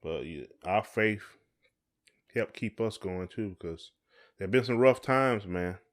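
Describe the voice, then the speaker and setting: Low-voiced man chatting casually. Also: a little slow. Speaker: podcast co-host. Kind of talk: casual conversational chat.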